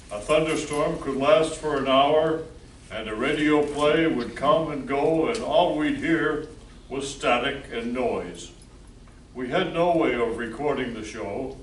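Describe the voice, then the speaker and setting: A man with a mid-pitched voice narrates an old-time radio play as a formal showman announcer. He sounds distant in an echoey room, with faint noise. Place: live stage show in an echoey room.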